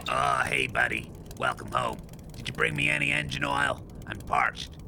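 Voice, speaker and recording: Over a clear mechanical rumble comes a hulking male robot sentry, heavily creaky and gravelly. He is crude, matey and blunt, straight out of an audio comedy. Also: level tone, normal speed. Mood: matey, eager. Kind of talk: comic audio drama acting.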